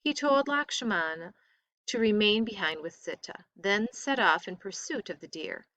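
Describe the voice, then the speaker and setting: A woman reading a story aloud expressively, with deliberate, dramatic emphasis. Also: medium pitch, lively intonation, sharp tone, dry recording.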